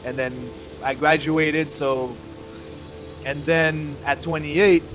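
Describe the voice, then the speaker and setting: A man in his thirties from Haiti, talking with a fairly high voice in an easygoing, unhurried manner. He tells his story casually and laughs easily. Music plays in the background. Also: steady tone. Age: 30s. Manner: laid-back, chill, laughs easily. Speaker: guest, YouTuber.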